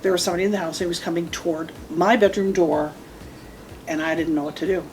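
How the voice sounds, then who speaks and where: A middle-aged woman casually telling a personal story, animated and emphatic, often repeating her phrases. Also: fairly low pitch, fast, slight background noise, poor audio. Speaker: storytelling guest, mother of the host.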